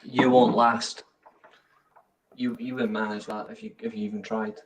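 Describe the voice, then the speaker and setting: A man's voice: lowish voice, flat delivery, normal speed, distant mic, a little hiss or hum. A man co-hosting a football watchalong, bantering and swearing in casual chat. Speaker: watchalong co-host.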